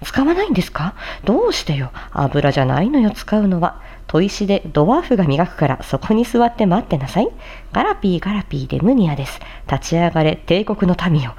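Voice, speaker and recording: A woman's voice: fairly low pitch, big pitch swings, fairly quick, darkish tone, breathy edge. A woman who hosts a tongue-twister challenge like a voice actor, moving between carefully enunciated theatrical recitation and polite, chatty commentary. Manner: polite, chatty asides to viewers.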